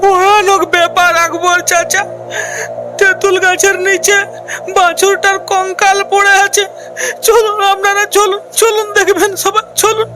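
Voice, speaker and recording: A narrator voicing a distressed male villager in an animated folk tale, wailing and repeating words as he frets. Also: very high-pitched, measured pace, over loud music, pitched above the performer's own voice. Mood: panicked. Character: distressed male villager searching for lost calf, panting.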